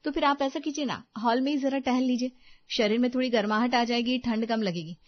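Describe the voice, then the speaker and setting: A woman voicing an anxious, fussing, caring young daughter-in-law in an animated family story, fretful and insistent. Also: a little high, brisk, dull audio, lightly acted.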